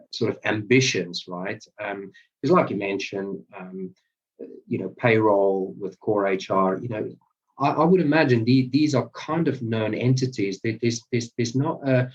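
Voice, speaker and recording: Low-ish guy cohosting, stumbly repeats, sounds far off and muffled. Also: breathy edge. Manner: halting, repeats words while thinking. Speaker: podcast co-host. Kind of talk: casual conversational interview. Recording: distant, dull lo-fi sound.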